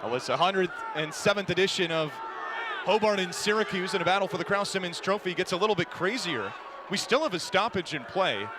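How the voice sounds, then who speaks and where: A high-voiced man does live play-by-play of lacrosse for radio. He is energetic and descriptive, ready to get excited by big plays. A loud game crowd and clear ambient noise sit behind him. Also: a little fast. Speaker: play-by-play sports announcer. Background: sports crowd at a live game.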